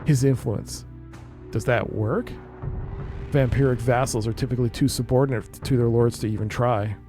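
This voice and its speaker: Mellow-voiced man narrating a game playthrough. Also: mid-range voice, fairly even intonation, moderate pace, faint music behind. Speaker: game streamer. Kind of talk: reading game narration aloud with casual commentary.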